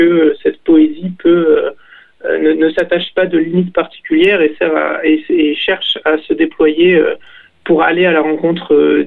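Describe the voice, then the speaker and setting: A young man, a poet, answering interview questions thoughtfully, with many pauses and restarts. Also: on the high side, gravelly. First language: French. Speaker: poet and author. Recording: tinny phone-like radio sound.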